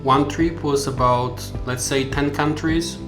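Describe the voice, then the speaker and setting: A middle-aged man from Europe with a European accent, speaking English as a non-native language, recalling his travels in a plain, halting way for a vlog. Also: medium pitch, fairly even intonation, over music. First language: not English. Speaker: vlogger. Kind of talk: personal travel recollections to camera.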